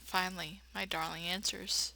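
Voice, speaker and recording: Bright-voiced woman reading a horror game. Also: on the low side, normal speed, vocal fry, poor audio. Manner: expressive narration broken by blunt sassy asides. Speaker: let's player. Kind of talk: reading a visual novel aloud with playful running commentary.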